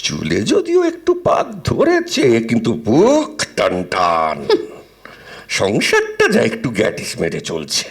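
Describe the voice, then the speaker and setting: An actor playing a miserly, teasing middle-aged husband who grumbles theatrically and sarcastically in an audio drama. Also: male voice, fairly low pitch, wildly swooping pitch, measured pace, vocal fry, background noise, heavily acted. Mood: teasing, defensive.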